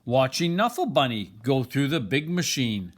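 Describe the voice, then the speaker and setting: An older man reading a children's picture book aloud, expressively and deliberately, with dramatic pauses. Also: mid-range voice, sing-song. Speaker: storybook reader.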